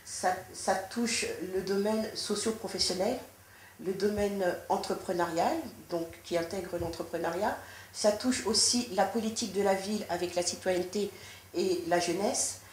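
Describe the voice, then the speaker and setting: A woman from France speaks formally and deliberately, often restarting her sentences as she answers an interviewer. Her voice sits at a middle pitch and carries a roomy echo. A faint hiss stays underneath. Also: darkish tone. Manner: measured, formal, with frequent restarts. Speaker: politician being interviewed. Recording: echoey room with faint noise.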